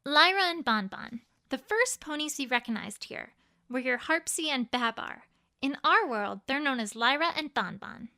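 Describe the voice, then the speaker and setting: A woman's voice: high-pitched, animated, moderate pace, pushed and bright, full character voice. A woman voicing an anxious, earnest young female unicorn in a fan audio story, guilty and emotive. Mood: troubled, guilty. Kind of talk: acted audio story dialogue.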